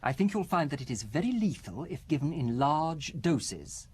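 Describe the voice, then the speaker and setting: An actor playing a worried, fragile husband in an audio drama, speaking in a hesitant, halting, self-justifying way. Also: male voice, fairly high pitch, big pitch swings, a little mellow, breathy edge. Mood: earnest, uneasy. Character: worried fragile husband who suspects his wife of poisoning.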